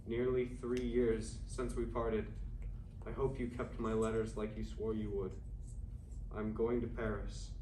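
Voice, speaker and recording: A man playing a yearning 1920s writer of secret love letters in an earnest, measured staged play reading. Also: mid-range voice, warm tone, lightly acted. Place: indoor room at a staged reading. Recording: echoey room, distant mic, faint noise.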